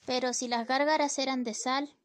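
High, flippant scolding wife for a radio drama, played by a woman. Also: fairly even intonation, normal speed, close to an everyday voice. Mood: chiding. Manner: flippant, nagging. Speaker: student radio drama actor. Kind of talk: amateur radio play acting.

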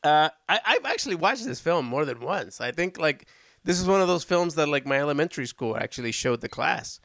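A man's voice: a little high, sing-song, fairly quick, fairly bright tone, no echo, clean studio sound. A man hosting a casual film podcast, talking enthusiastically and rambling with frequent restarts. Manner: enthusiastic, rambling, self-interrupting. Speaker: podcast host. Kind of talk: casual chat about old films.

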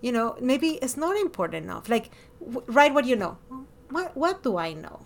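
Podcast host, female, Latin American Spanish accent, slightly high voice, expressive and emphatic in casual conversation. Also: normal speed, vocal fry. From born in Miami, lived over a decade in Ecuador.

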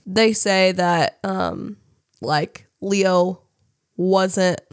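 A congested woman co-hosting a casual podcast, her voice sitting a little low. She reads out facts between fillers and stumbles a bit over names, and the sound is close and dry with no room around it. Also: drawn-out words, no room sound. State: congested from a cold. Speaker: podcast co-host.

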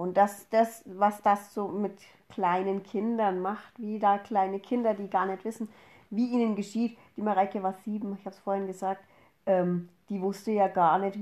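Female podcast co-host, early thirties, from Upper Franconia, medium pitch, conversational delivery. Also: mellow. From Upper Franconia, Germany. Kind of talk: casual conversational podcast talk.

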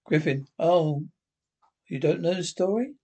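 A husky-voiced reader playing a cemetery caretaker, who talks in a halting, hesitant way. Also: medium pitch, animated, dark tone. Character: caretaker of an old cemetery, uneasy.

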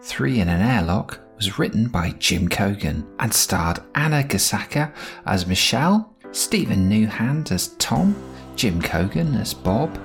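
A man's voice: on the low side, gravelly, fairly bright tone. A man reading scripted end credits as the announcer of an audio drama.

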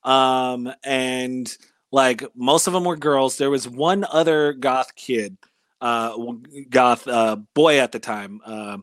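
A man in his thirties with a Californian accent and a mid-pitched voice, recorded very dry. He reminisces casually as an interview guest, in a halting, slangy way with some swearing. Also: no echo. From California, United States. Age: 30s. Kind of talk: casual chat, personal recollection.

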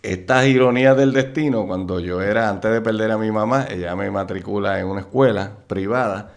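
Gravelly low-voiced Puerto Rican man. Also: brisk. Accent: Puerto Rican Spanish. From Puerto Rico. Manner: laughs often while recalling old times. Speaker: guest. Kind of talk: casual storytelling interview.

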